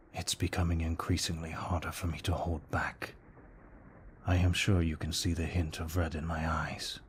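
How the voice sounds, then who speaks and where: Guy doing a tormented gentleman vampire, asmr style, super low n breathy, whispery, slow formal talk, bit of background noise. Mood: pained, restrained. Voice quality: hushed, breathy, often slipping into whisper. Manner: formal, deliberate, brooding delivery. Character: tormented, courteous male vampire fighting his bloodlust. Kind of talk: ASMR roleplay, slow intimate monologue.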